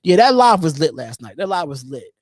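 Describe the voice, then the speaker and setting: A man in his thirties hosting a live call-in show on his own, talking casually, repeating phrases and pausing on drawn-out ums while he reads out chat comments. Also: on the high side, big pitch swings, dry recording, studio quality. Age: 30s. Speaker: host.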